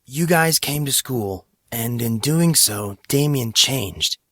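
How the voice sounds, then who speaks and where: A man voicing a perceptive, earnest teenage schoolboy in audiobook dialogue, speaking deliberately. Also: mid-range voice, expressive, a bit bright, no echo, clean studio sound, pitched above the performer's own voice. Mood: measured, knowing. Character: teenage schoolboy, perceptive, playful, hurt but kind.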